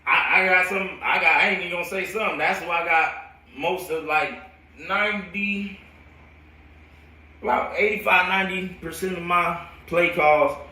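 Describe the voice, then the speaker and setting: An American man who coaches football, telling stories casually and in an animated, slangy, rambling way on a live stream. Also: high-pitched, moderate pace. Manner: animated, slangy, laughs often. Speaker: football coach hosting a storytelling show. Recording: roomy echo, distant from the mic.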